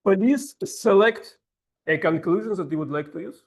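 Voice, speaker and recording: A man with a heavy Russian accent, a native Russian speaker, hosting a club session as table topics master in slow, halting English with playful asides. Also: high voice.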